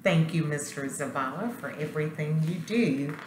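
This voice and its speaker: A woman principal reading a children's picture book aloud in an expressive, deliberate storytelling way, with asides to her students. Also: sits low. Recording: roomy echo, faint background noise.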